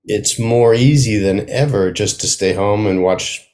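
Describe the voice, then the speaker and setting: Man talking thoughtfully in halting phrases. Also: lowish voice, a little mellow. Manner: halting, thoughtful, trailing off mid-sentence. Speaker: playwright, interview guest. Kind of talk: casual conversational interview. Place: remote video call.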